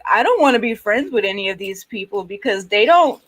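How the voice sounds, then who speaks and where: A woman from New York with a New York accent, hosting a casual panel chat and speaking haltingly with long pauses. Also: medium pitch, animated, a bit bright. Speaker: panel host.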